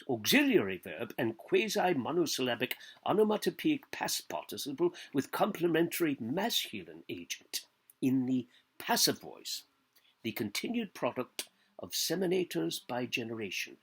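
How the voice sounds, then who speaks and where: A man gives a theatrical reading of literary prose in a slightly high, husky voice. His pitch swings widely as he declaims, and he sets out each phrase deliberately, with pauses between them. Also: fairly bright tone. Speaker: actor giving a public literary reading.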